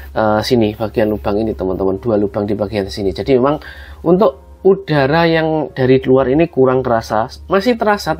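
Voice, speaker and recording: A man's voice: medium pitch, darkish tone. A man with a Javanese-region Indonesian accent gives a casual hands-on tutorial, explaining things to viewers as a product reviewer talking to friends. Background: background music.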